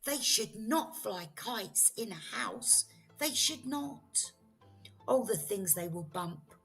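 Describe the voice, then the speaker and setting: A woman's voice: medium pitch, sing-song, slow pace, fairly bright tone, soft background music. A woman voicing an anxious, scolding little pet fish in a children's storybook read-aloud, fretful and urgent. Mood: alarmed scolding.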